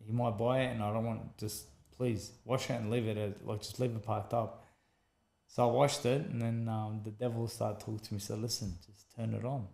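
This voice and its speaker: An Australian man with a gravelly, mellow voice telling a long personal story as a podcast guest. He talks casually in a flat, even tone, repeats phrases and acts out the people he quotes. The room sounds echoey. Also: lowish voice, normal speed, slight background noise. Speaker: guest, former boxer. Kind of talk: conversational storytelling.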